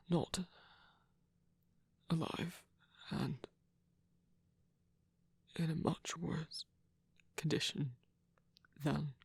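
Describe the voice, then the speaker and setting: Someone acting out a proud hurt villain for asmr romance, super breathy n whispery, slow halting delivery, soft spot underneath. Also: mid-range voice, dry recording, exaggerated voice. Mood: shaken, haunted. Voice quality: breathy, husky, often whispering. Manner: hesitant, halting, sighing. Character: wounded, proud villain, secretly vulnerable, tender toward a lover. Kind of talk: ASMR romantic audio roleplay.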